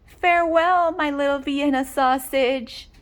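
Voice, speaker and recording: A woman's voice: sits high, fairly even intonation, a little slow, pitched above the performer's own voice. A woman voicing a doting, cheery storybook mother dog in an affectionate sing-song. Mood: fond, cheerful.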